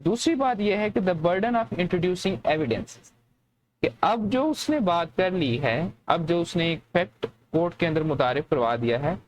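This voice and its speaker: A man's voice: high-pitched, lively intonation, unhurried, a little hiss or hum, rough recording. A man with a Pakistani accent giving an explanatory law lecture, repeating and rephrasing his points in a didactic way. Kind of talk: explanatory lecture, reading clauses then explaining.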